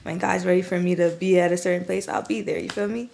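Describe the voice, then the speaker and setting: A woman's voice: on the low side, steady tone, fast, gravelly, darkish tone. Laid-back Jamaican woman chatting casually. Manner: laid-back, many fillers like "like" and "you feel me". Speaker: podcast guest, content creator. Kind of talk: casual chat.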